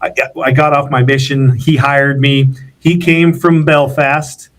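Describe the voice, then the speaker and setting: A man's voice: mid-range voice, level tone, unhurried. A man hosting a podcast in casual conversation, enthusiastic and full of restarts. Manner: enthusiastic, many restarts and fillers. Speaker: podcast host. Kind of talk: casual interview, scripted outro.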